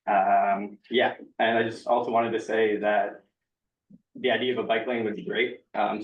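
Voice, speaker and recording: Low flat voiced guy making his point at a meeting, lots of ums, echoey and muffled. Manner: earnest, with many fillers. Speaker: public commenter. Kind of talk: public comment at a community meeting. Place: meeting room. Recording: echoey room, distant mic, dull sound.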